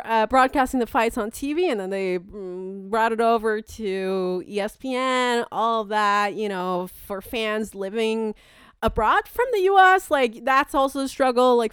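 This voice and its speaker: A woman's voice: a little high, unhurried, a bit bright, clear, resonant tone, dry recording, clean studio sound. A woman hosting a sports show, giving chatty, enthusiastic, colloquial commentary on fights in a casual style. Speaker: sports podcast host.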